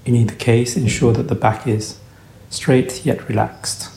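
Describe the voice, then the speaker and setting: A man leading a guided meditation, speaking in short, soothing, gentle instructions. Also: mid-range voice, steady tone, measured pace, creaky. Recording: roomy echo, faint background noise.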